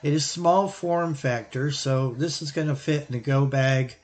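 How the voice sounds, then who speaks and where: A man giving an informal, explanatory product recommendation as a hobbyist reviewer, thinking aloud in halting, broken phrases. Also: mid-range voice. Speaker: YouTube radio hobbyist and reviewer.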